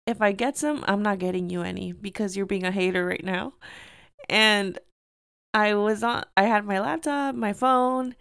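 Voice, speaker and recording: A young woman hosting her own podcast, slightly hoarse and tired, chatting casually and telling a story with lots of "like". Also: mid-range voice, fairly bright tone, no room sound, clean studio sound. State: tired from lack of sleep. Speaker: podcast host. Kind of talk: casual solo podcast chat.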